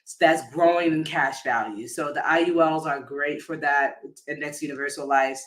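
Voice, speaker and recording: Bright, higher-pitched man explaining casually. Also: steady tone. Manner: casual, halting, often rephrasing mid-sentence. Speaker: insurance agent giving a financial education talk. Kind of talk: informal explanatory live talk.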